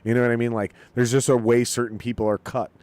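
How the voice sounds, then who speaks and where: A man hosting a casual podcast at a steady middle pitch, heavy with profanity and self-mocking humor. His voice is close and dry on the mic, with no room around it. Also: fast, dry recording. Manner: profane, animated, self-deprecating jokes. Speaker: podcast host.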